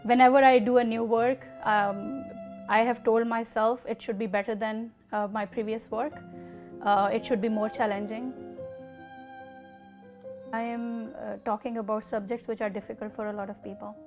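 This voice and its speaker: A middle-aged woman with a Pakistani accent, telling her own story in an interview in a measured, deliberate way with pauses. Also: a little high, level tone, over music. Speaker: artist interviewee.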